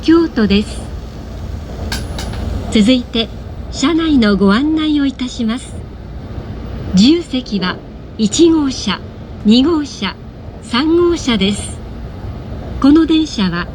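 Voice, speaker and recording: High-voiced woman making formal train announcements. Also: darkish tone. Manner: polite, formal, measured. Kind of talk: scripted automated train announcement. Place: inside a moving bullet train. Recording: noisy train cabin, announcement over speakers. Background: train running noise.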